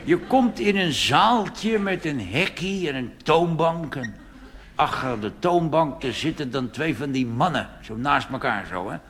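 A man's voice: a little high, lively intonation, measured pace, fairly bright tone. A man playing a cheeky, half-deaf old street-organ grinder in a rambling, mumbling cabaret monologue. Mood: deadpan mischief. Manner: rambling, hesitant, mumbled asides.

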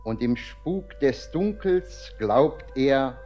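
A man's voice: medium pitch, expressive, drawn-out words, dark tone, soft background music. A man narrating a radio drama, setting the scene as a scripted narrator.

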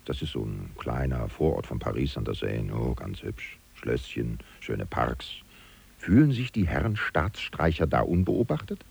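A man playing a loyal, disillusioned political aide who muses and questions aloud to the listener in a German radio drama, with a gravelly voice. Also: big pitch swings, measured pace, darkish tone, heavily acted. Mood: puzzled, musing. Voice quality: gravelly, very deep. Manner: musing, chatty, rhetorical questions. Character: loyal, disillusioned male aide to a fallen politician, wryly indignant.

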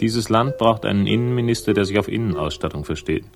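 A hard-boiled private investigator with a low, creaky voice. He is wry and laconic, delivering noir radio drama narration and dialogue with deadpan irony. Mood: dry irony. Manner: deadpan, ironic, unhurried.

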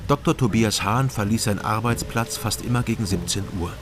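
A man's voice: fairly low pitch, a little fast, music in the background, natural voice. An actor playing a laconic ex-policeman turned private detective, narrating a radio drama in a dry, matter-of-fact way.

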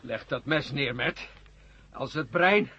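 A man's voice: mid-range voice, slow, creaky, pushed and bright, exaggerated voice. An actor in a 1970 radio drama playing an obedient, indifferent space crewman who speaks in a curt, dutiful way. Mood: indignant. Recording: muffled old radio recording with hiss.

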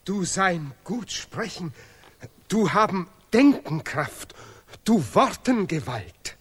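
A vain, ceremonious artist in an experimental radio play. He declaims slowly in broken German, with a very lively rise and fall. Every phrase sounds pompous and stylised. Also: high voice, husky, full character voice. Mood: admiring. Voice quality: deliberately broken, ungrammatical German. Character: grandiose self-praising great artist and Nobel laureate.

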